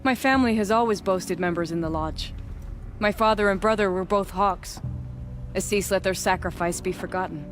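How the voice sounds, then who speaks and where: A proud young huntress of noble birth, played by a woman, speaking with formal, unbending resolve as in a cinematic fantasy game. Her voice sits at a middle pitch with music behind it. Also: normal speed, close to an everyday voice. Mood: solemn, bitter. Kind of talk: cinematic video game acting.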